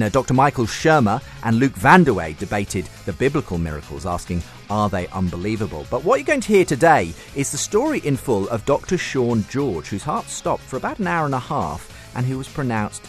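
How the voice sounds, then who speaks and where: A man's voice: mid-range voice, sing-song, fairly quick, hardly any pauses, soft background music. A man presenting a radio programme as its host, introducing items and guests.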